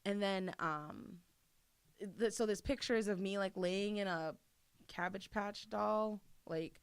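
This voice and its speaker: A talkative woman in her thirties from Maine tells stories in a loose, casual way. She fills her speech with "like" and swears freely. Her voice sits at an average pitch, recorded close and dry with no room echo. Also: slow pace, smooth. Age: 30s. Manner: chatty storyteller, swears freely, lots of "like". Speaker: interview guest. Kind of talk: casual chat.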